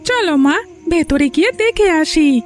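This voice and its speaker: A woman's voice: extremely high pitch, animated, a little slow, a little hiss or hum. A woman voicing an innocent, eager little village boy in a story cartoon, childlike and pleading. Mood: excited. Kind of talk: Bengali moral story cartoon dubbing.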